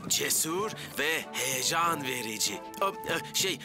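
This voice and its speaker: A man's voice: fairly high pitch, lively intonation, slow, music in the background. A man voicing an eager, bumbling cartoon fireman with a stammering, over-enthusiastic delivery. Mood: excited. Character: eager, bumbling young fireman, excitable admirer. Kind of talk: dubbed children's cartoon acting.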